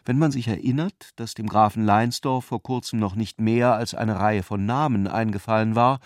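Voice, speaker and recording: Low-voiced male literary narrator, dry sound. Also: moderate pace, dry recording. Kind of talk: literary narration in a radio play. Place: dry studio.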